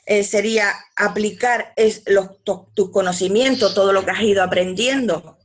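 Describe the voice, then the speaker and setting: A woman's voice: medium pitch, steady tone, normal speed. A woman, presenting a business webinar over a video call. She reads slides and often hesitates, filling gaps with "pues" and restarting phrases.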